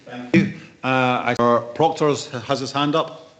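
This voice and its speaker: A man's voice: mid-range voice, fairly even intonation, a little slow, a bit bright. A man chairs a council meeting, moving it along and calling on councillors to speak. His voice carries a roomy echo from the chamber.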